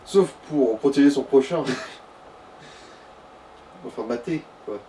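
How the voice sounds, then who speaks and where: A man's voice: mid-range voice, slow pace, mellow. A man sits well away from the mic. He chats casually over a video game, explaining things in loose slang as a co-commentator. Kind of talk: casual gaming chat. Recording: distant mic with background noise.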